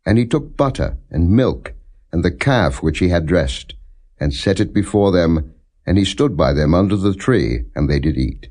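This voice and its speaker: A man reading scripture as an audiobook narrator, in a solemn, measured, formal manner without dramatization. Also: deep voice, lively intonation, darkish tone.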